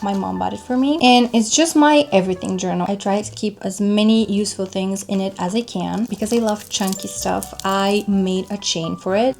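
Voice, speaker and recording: A woman's voice: mid-range voice, darkish tone. A young woman from Bulgaria, chatting casually and over-explaining as she shows off her things in a vlog. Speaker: vlogger. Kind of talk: casual show-and-tell.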